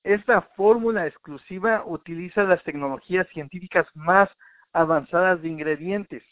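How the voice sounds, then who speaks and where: A man's voice: high-pitched, moderate pace, dry recording. A man presenting an informal health talk in a didactic way, addressing the listener directly, point by point. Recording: narrow, tinny phone-like sound.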